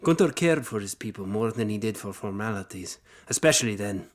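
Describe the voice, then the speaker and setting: An actor playing a weathered knight who recounts his childhood in formal, measured phrases, in audio drama. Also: lowish voice, expressive, close to an everyday voice. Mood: reflective.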